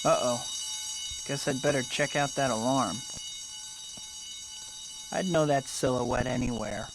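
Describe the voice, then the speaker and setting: A man's voice: medium pitch, measured pace, background noise, close to an everyday voice. An actor voicing a wry, polite young male hero in a video game. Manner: wry, quipping.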